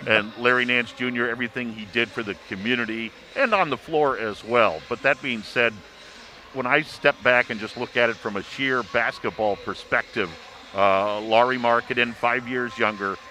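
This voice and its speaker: A man working as a sports announcer, giving polished basketball analysis in measured, emphatic phrases. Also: mid-range voice, sharp tone, low-quality recording.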